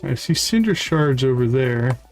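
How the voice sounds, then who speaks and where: Male game streamer, average pitch, casual play-by-play, thinks aloud, music underneath. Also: expressive, measured pace. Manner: thinks aloud while playing. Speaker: host of a live game stream. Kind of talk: casual live gameplay commentary.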